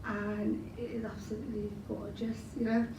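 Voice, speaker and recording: A woman's voice: medium pitch, flat delivery, normal speed. Scouse woman, roomy and distant. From Liverpool, England. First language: Arabic. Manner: chatty, frequent fillers and you-knows. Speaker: interviewee, spoken word poet. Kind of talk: casual interview answers. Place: large event hall. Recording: roomy hall recorded from a distance with noise.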